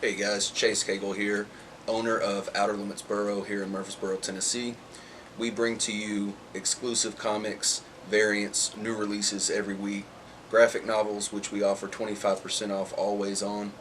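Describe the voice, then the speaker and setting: Male small-business owner, informal promo, deepish monotone, slightly noisy location sound. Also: moderate pace. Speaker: comic shop owner, sponsor. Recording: noticeable background noise.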